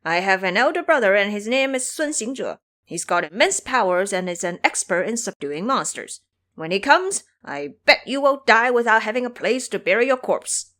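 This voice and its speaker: A woman's voice: mid-range voice, lively intonation, moderate pace, fairly bright tone, lightly acted. A woman voicing a male pig disciple, a goading and placating younger brother, in an audio drama. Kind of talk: audio drama character acting.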